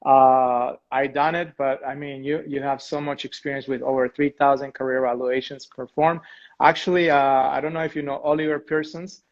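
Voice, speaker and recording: A man hosting a casual online interview who asks questions with halting restarts. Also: medium pitch, flat delivery, dull audio. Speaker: interview host.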